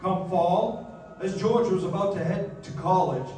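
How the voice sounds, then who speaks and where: A man's voice: high voice, measured pace, mellow, a little hiss or hum. An actor playing a heavenly narrator telling a man's life story in a live radio play. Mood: solemn, explanatory. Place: live stage in a dance hall. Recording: very echoey hall, distant mic. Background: faint music.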